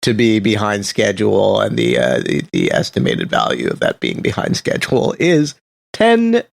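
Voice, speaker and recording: A man's voice: on the low side, huge pitch swings, normal speed, gravelly, no echo. A man co-hosting a radio show in casual, wry and drily critical chat. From Canada. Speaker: radio co-host.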